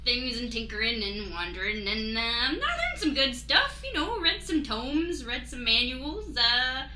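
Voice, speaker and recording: A woman's voice: on the high side, lively intonation, a little slow, bright, forceful tone, echoey room, exaggerated voice. A woman playing a folksy, nosy, chummy country man in an improvised radio play, drawling and rambling theatrically. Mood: folksy, sly.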